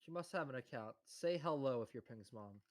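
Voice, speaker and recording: A man's voice: mid-range voice, lively intonation, fairly quick, a little mellow. A man joining a friend's game stream, teasing him and laughing often in casual chat.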